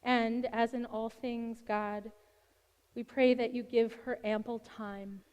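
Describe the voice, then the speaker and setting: A woman of the clergy leads a blessing in a measured, prayerful cadence, her voice pitched a little high. The words ring out into a large sanctuary with a long, lingering reverb. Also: little pitch movement, a little mellow, clean tone. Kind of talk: liturgical blessing.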